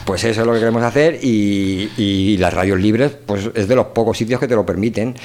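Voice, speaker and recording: A man's voice: lowish voice, a little fast, a little mellow. A man with an accent from Spain hosting a community radio show in a casual, rambling, colloquial way. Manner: rambling, informal.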